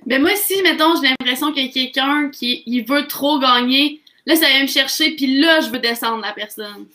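A woman's voice: sits high, moderate pace, bright, forceful tone. A young woman with a Quebec French accent, chatting casually on a group video call. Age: young adult. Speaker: podcast panelist. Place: room at home, video call.

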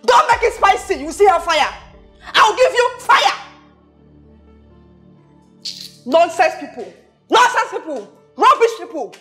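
A woman's voice: extremely high pitch, big pitch swings, normal speed, faint music behind, full character voice. An actress playing a haughty, hot-tempered rich woman who scolds and repeats her questions emphatically in a film drama. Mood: furious scolding. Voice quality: loud, shouting when angered. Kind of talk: low-budget film drama acting.

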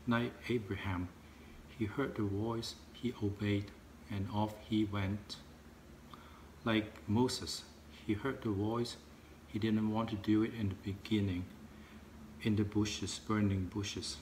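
An earnest man in his forties, a native Cantonese speaker, teaching a children's Bible lesson in simple, careful words. His voice sits fairly low, with a faint hiss behind it. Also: flat delivery, darkish tone. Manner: earnest, simple and slow-paced. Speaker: Sunday school teacher. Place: outdoors in a park and a living room.

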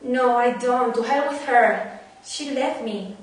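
A woman's voice: on the high side, takes it slowly. A woman with a Spanish accent playing a heartbroken, defensive young divorced man in an amateur script reading. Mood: defensive, upset. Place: wooden-floored room indoors. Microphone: far camera. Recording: echoey room, distant mic, faint noise.

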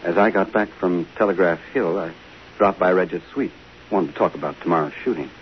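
An actor playing a guarded, shaken man under questioning, hesitant and measured, in a 1950s radio drama. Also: deep voice, lightly acted. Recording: hissy, muffled old radio recording.

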